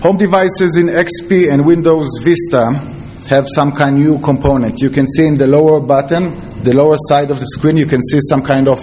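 A man with an Israeli accent giving a conference presentation as a security researcher, in halting, non-fluent English. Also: fairly high pitch, steady tone, mellow, a little hiss or hum. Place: conference talk before an audience.

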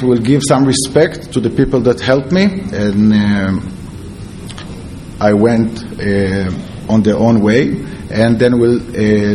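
Israeli guy giving a tech talk, stumbly second-language english, kinda dark relaxed voice, bit of room noise behind. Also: mid-range voice. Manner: halting, non-fluent English. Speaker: security researcher, conference speaker. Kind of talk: conference presentation. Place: conference talk before an audience.

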